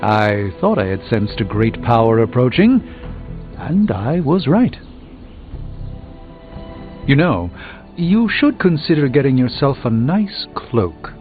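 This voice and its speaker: A pompous middle-aged game sorcerer, real animated and wry, thin phone-like sound w music under. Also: male voice, mid-range voice, takes it slowly, pauses often. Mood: grandly self-satisfied. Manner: pompous, formal, dryly wry, theatrical. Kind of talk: scripted video game dialogue.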